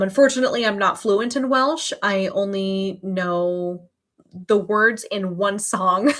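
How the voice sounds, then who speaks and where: A woman in her early thirties hosts a casual livestream. Her voice sits at a middle pitch for a woman. She talks in an informal, emphatic way, readily gushing as she chats to her viewers. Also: fairly bright tone. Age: early 30s. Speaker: livestream host.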